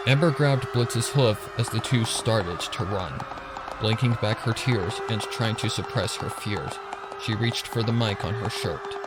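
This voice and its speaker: A man's voice: lowish voice, unhurried, very noisy. A man reading a scripted story as a dramatic narrator. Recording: narration over music and sirens.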